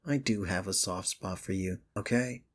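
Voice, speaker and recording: Man acting as a low, husky smitten office rival. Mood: exasperated confession. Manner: intimate, teasing, halting confessions to a listener. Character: smitten male office rival confessing, teasing and flustered. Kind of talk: ASMR romance roleplay, intimate acting.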